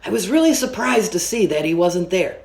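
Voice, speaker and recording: A woman reading a children's book aloud with an expressive storytelling manner. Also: on the low side, brisk, gravelly, echoey room. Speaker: story reader.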